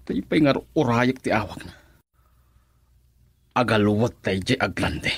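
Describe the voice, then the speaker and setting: A man's voice: on the low side, lively intonation, moderate pace, breathy edge, dry recording. An actor playing a menacing, controlling man in a radio drama, with a commanding, taunting manner. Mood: agitated, scheming.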